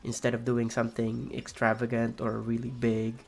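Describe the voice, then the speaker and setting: A young man with a raspy, gravelly voice talks loosely while he plays, trailing off and restarting his sentences. His pitch sits in an ordinary middle range. Faint game music plays underneath. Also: monotone, normal speed. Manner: rambling, soft-spoken, many fillers and restarts. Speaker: streamer. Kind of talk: casual chat while playing a game.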